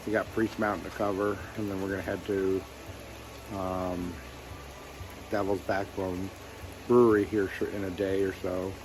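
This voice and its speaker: A man's voice: flat delivery, moderate pace, long pauses. A man talking casually to his video diary as a hiking vlogger, rambling and thinking aloud. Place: outdoors by a road or trail. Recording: outdoors with loud highway traffic noise.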